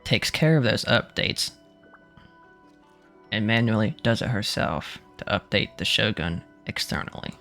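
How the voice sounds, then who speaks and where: A man's voice: lowish voice, measured pace, vocal fry, fairly bright tone, faint music behind. A young man giving casual, slangy commentary as he streams a video game. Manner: slangy, informal.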